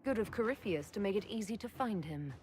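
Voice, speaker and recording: A woman's voice: mid-range voice, expressive, fairly quick, a little mellow, a little hiss or hum, lightly acted. A woman playing a confident, decisive leader in a fantasy game, composed and direct. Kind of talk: dramatic fantasy game acting.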